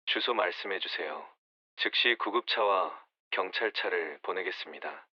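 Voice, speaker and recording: A man playing a calm emergency dispatcher on the phone. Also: low-pitched, moderate pace, husky, no room sound. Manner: calm, formal, instructive. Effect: phone line. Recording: dull phone-line sound.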